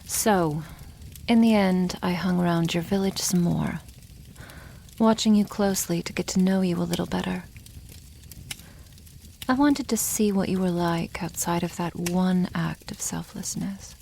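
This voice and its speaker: A female dragon played by a woman speaks in an intimate ASMR roleplay, protective and secretly smitten. Her voice is hushed and slightly low, with plenty of fry, and she shapes formal sentences very slowly. Faint noise sits behind her. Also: fairly even intonation. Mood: tender. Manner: slow, hushed, often breathy half-whisper, formal wording.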